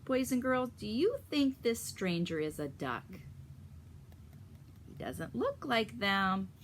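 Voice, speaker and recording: A woman reading a picture book aloud to children in a teacherly way, pausing to explain and ask them questions. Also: a little high, sing-song, slight background noise. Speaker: story reader for children.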